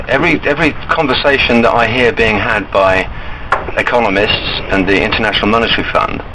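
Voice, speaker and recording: A man calling an enquiry line and arguing his points in an earnest, insistent, halting way. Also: lowish voice. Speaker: caller to a bank enquiry line. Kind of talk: argumentative phone discussion. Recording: recorded phone call with background noise.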